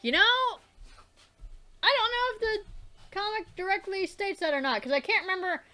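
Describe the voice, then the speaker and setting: A woman's voice: very high voice, expressive, normal speed, sharp tone. A young woman hosting a let's play, reading a webcomic aloud with theatrical delivery, swearing and trailing off into casual asides.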